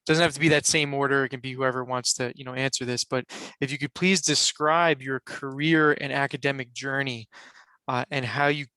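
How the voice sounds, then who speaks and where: Guy running a zoom panel, bright clear voice, dry sound, lots of uh and so. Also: medium pitch, steady tone, a little fast, no echo, rough recording. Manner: loose, filler-heavy, apologetic. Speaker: panel moderator. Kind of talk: moderating a video call panel. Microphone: video call.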